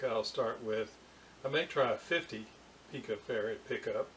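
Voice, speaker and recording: A man giving an informal hands-on technical demonstration as an electronics hobbyist, halting and thinking aloud, recorded in a room at home on a camera's built-in microphone. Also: medium pitch, fairly bright tone. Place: room in a house. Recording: roomy echo, faint background noise.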